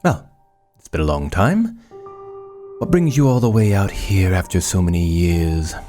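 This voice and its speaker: An actor playing an aloof, obsessive scientist in a horror audio drama, speaking formally and dismissively. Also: male voice, low voice, lively intonation, unhurried, dark tone, faint music behind.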